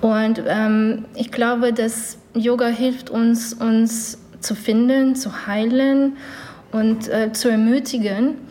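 A woman's voice: on the high side, level tone, takes it slowly, warm tone. A woman from Ukraine, raised in Canada, speaking German as a second language, hesitant with many fillers. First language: not German. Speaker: yoga teacher, guest. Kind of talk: interview.

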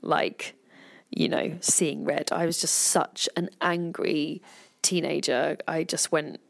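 A woman's voice: low voice, fairly even intonation, slow pace, gravelly. An English woman in her thirties, talking candidly in a podcast conversation and swearing freely. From England.

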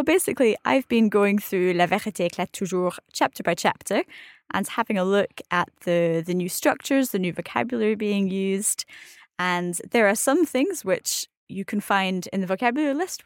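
A woman's voice: medium pitch, lively intonation, normal speed, dry recording, clean studio sound. A woman with a Scottish accent, an advanced French learner, talking through her approach in a thoughtful, conversational way with frequent ums. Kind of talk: casual conversational explanation.

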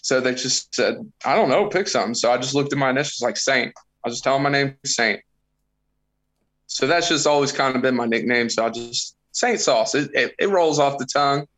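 A man in his thirties chatting on a podcast, at an average pitch for a man. He is loose and profane and tells crude jokes about his own life. He talks in an easy, casual way. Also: a little fast, fairly bright tone, rough recording. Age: 30s. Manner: profane, joking storyteller. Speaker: podcast guest.

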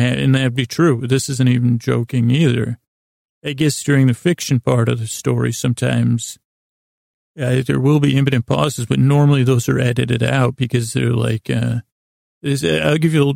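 A man hosting a podcast in a rambling bedtime monologue, halting and meandering, often cutting himself off with false starts, in a self-deprecating, absurdist way. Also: mid-range voice, vocal fry, a little mellow, no echo, studio quality. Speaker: podcast host.

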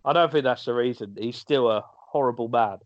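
A man's voice: medium pitch, fairly quick, no room sound, dull audio. A man co-hosting a podcast, trading jokes in casual banter and laughing often. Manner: jokey, animated, laughs often. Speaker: podcast co-host.

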